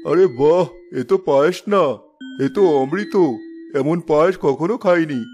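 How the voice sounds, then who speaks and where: A man voicing a delighted, admiring village customer in an animated moral story, speaking effusively. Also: fairly high pitch, normal speed, faint music behind, close to an everyday voice. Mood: amazed, admiring.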